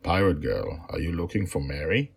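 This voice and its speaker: A man voicing a deep-voiced, half-eagle half-man general who speaks softly and warmly to a child. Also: big pitch swings, normal speed, gravelly, husky, heavily acted. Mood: consoling. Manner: soft, warm, soothing.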